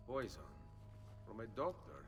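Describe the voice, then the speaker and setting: An actor playing a courteous, determined young man in a video game, measured and purposeful. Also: lowish voice, big pitch swings, music in the background. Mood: friendly. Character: determined, courteous young Italian male avenger. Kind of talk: video game dramatic acting.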